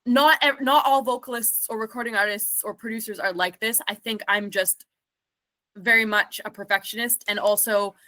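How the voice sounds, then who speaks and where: Brief: woman vocalist in interview, bright ringing tone, loose talky delivery with lots of fillers. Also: on the high side, steady tone, normal speed. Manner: chatty and reflective, many "like" fillers. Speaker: singer and self-producing recording artist. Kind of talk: casual interview answers.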